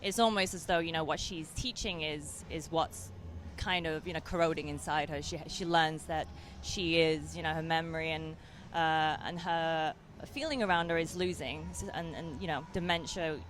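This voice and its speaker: Soft-spoken English woman, hesitant. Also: sits low, normal speed, slight background noise. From England. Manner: hesitant, many fillers and restarts. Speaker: film director. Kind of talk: interview answers.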